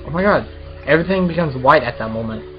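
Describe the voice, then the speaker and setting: A man's voice: on the high side, lively intonation, fast talker, muffled recording, soft background music. A man doing a casual let's play, reading visual novel text aloud and commenting as the host of a gaming video.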